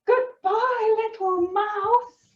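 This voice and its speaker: A woman's voice: very high-pitched, steady tone, very slow, voice pushed up, heavily acted. A woman voicing timid, politely fretful woodland creatures from a storybook, sounding fluttery and alarmed. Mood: nervous. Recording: roomy, distant, dull sound.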